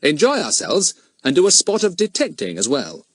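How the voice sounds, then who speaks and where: A man voicing a confident, cheeky boy detective in a children's storybook, brisk and well-mannered. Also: on the high side, huge pitch swings, slightly rough, no room sound, clean studio sound, pitched above the performer's own voice, full character voice. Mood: planning, confident.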